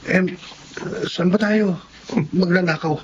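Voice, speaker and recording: A man playing a nervous, soft-hearted young novice thief, hesitant and pleading, in a Filipino radio drama. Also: high voice, fairly even intonation, takes it slowly. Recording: dull lo-fi audio with faint noise.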